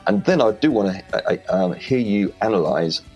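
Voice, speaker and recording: A man's voice: fairly low pitch, animated, moderate pace, darkish tone, slightly rough, lo-fi sound, soft background music. A man with a Welsh accent, a podcast guest giving direct, confident advice on interviewing. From Wales. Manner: direct, confident, plain-spoken. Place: home in rural Wales.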